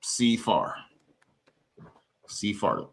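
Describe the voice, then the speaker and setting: A man hosting a live stream, sounding tired, loose and quick to laugh. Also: lowish voice, big pitch swings, vocal fry, husky. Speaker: host. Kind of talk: casual chat.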